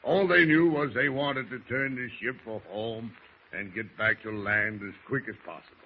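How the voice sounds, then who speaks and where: A man's voice: mid-range voice, moderate pace, a little hiss or hum, natural voice. Man playing a scheming old-time sailor on muffled radio. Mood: wheedling, folksy. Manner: folksy old-salt dialect speech. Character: scheming seafaring ship's master, folksy and commanding. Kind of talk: 1940s radio drama acting.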